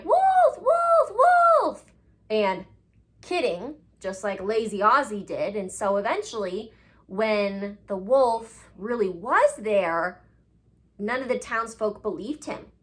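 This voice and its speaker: A woman's voice: medium pitch, a bit bright. A woman reading a storybook expressively and talking directly to children like a teacher, drawing out key words for emphasis. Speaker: children's read-aloud host, teacher-like.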